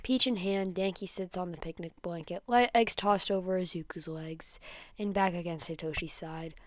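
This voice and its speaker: A woman's voice: fairly low pitch, steady tone, moderate pace, dry recording. Woman reading fan fiction, close dry sound. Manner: stumbles over words and corrects herself mid-sentence. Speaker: podfic reader. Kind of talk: amateur fan fiction read-aloud with casual asides.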